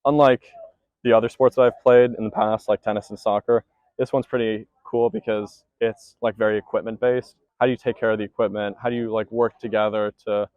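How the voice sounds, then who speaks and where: Low, flat-voiced teenage rower. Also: male voice, a little fast, clear, resonant tone, dry recording, muffled recording. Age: teens. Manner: casual, many fillers and restarts. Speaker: junior rower. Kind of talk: post-race interview.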